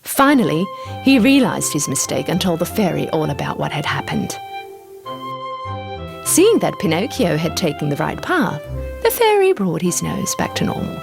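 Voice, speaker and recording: A woman narrating a scripted children's story in an expressive, deliberate storytelling manner. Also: low voice, gravelly. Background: music.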